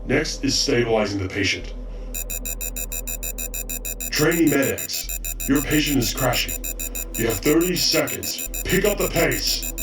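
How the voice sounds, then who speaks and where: A man's voice: medium pitch, expressive, measured pace, pauses often, fairly bright tone. Haughty commanding medic instructor, male actor, audio drama, roomy recording with faint music behind. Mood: stern, commanding. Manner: stern, commanding, exacting. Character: haughty, drill-sergeant male robot chief medic training recruits. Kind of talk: amateur audio drama acting. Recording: roomy, slightly distant mic, faint music.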